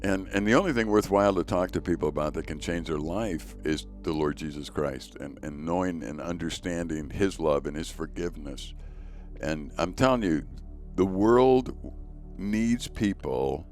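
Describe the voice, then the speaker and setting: A man with a notably deep, low voice hosts a faith podcast, telling stories in an earnest, conversational way and often repeating words as he goes. Faint music plays softly underneath. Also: normal speed. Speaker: podcast host. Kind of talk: casual conversation.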